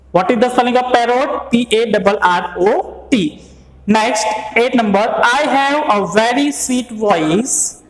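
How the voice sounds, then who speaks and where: A woman teacher talks through a quiz-style review. Her voice sits a little high. She speaks slowly, and a long, echoey reverb hangs on every word. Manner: slow, repetitive, quiz-style questions and answers. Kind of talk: classroom revision lesson. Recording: roomy, echoey hall sound.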